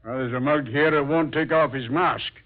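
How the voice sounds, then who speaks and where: Actor as brash cop on tinny old radio. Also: male voice, on the high side, moderate pace, lightly acted. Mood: blustering. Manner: slangy, blustery. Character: brash, skeptical policeman, easily flustered. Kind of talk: 1940s radio drama acting.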